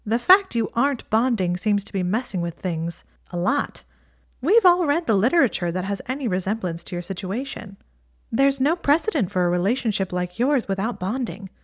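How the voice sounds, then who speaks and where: A woman's voice: medium pitch, animated, moderate pace, pitched above the performer's own voice, lightly acted. A woman voicing a chatty, teasing young witch girl who speaks in a lofty, blunt way. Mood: earnest.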